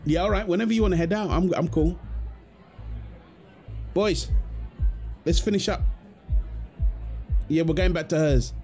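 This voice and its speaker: A man's voice: high voice, a little slow, pauses often, a little mellow. A young man acting out an ASMR boyfriend roleplay in a casual, conversational way. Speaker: audio roleplay performer. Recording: music behind the voice. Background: music.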